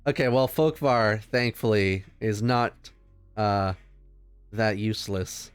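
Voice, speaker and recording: A man chatting casually and excitably as a player in a tabletop game. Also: on the low side, slow pace, clear, resonant tone, dry recording, faint music behind.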